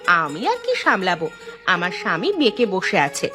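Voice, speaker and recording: A woman's voice: fairly low pitch, wildly swooping pitch, normal speed, pushed and bright, faint music behind, heavily acted. A woman voicing a nagging, fed-up village housewife in a cartoon, scolding with a sarcastic edge. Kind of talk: cartoon voice acting.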